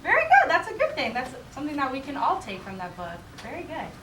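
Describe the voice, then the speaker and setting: A woman teacher reading a picture book aloud expressively to a class, in an animated way. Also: high voice, fast. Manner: animated, acts out character dialogue. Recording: roomy, distant mic with background noise.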